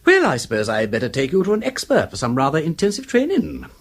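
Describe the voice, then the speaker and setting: An actor playing a breezy, urbane aristocratic detective in a British radio drama, with an upper-class English accent and a flippant manner. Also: male voice, on the high side, huge pitch swings, quick pace, gravelly, breathy edge, full character voice.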